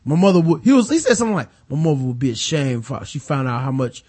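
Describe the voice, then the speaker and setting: A man's voice: fairly high pitch, sing-song, fast talker, darkish tone, slightly rough. An American man hosting a casual, profane film discussion, animatedly retelling scenes and acting out mock dialogue. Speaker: movie review podcast host.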